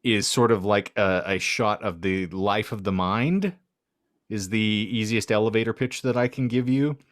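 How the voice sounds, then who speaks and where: A man's voice: on the low side, moderate pace, no echo, clean studio sound. A man co-hosting a podcast, talking casually about books in wordy, articulate phrasing. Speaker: podcast co-host. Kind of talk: casual book chat.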